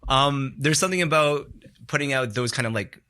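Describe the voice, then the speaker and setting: Bright-voiced man musing in casual chat. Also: mid-range voice, brisk. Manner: rambling, heavy use of "like", reflective. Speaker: podcast guest. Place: podcast studio.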